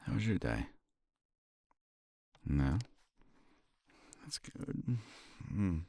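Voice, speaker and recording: Casting: deep, gravelly-voiced man, tender partner roleplay, lively intonation. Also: drawn-out words, dry recording. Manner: tender, reassuring partner talk to a listener. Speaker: ASMR roleplay performer. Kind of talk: romantic ASMR roleplay, intimate soft talk.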